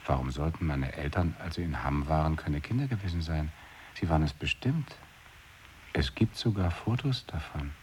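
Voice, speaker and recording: An actor playing a deadpan man in an experimental radio play, arguing absurd points with dry logic. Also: a bass voice, moderate pace, a little hiss or hum.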